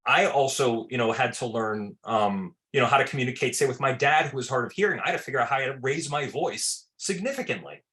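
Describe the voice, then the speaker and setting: A man answering interview questions in a relaxed, rambling way. He restarts his sentences often, and his pitch sits in the middle range. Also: brisk, few pauses. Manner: loose, filler-heavy, repeats words; says he has a very loud voice. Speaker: film director.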